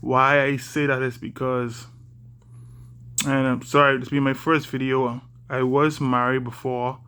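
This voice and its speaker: Slow, halting Bahamian man. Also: mid-range voice, little pitch movement, pushed and bright, clear, resonant tone. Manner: halting, many fillers, deliberately slow and careful. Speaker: vlogger. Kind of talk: casual talk to camera.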